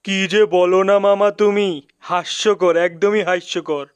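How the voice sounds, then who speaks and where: A man plays a young mouse nephew in a cartoon, high and clean-voiced, recorded dry. He is cheeky and naive, teasing his elder in colloquial Bangladeshi speech. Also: fairly even intonation, unhurried, smooth, no echo. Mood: mocking. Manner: playful, repetitive, teasing. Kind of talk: comic cartoon dub.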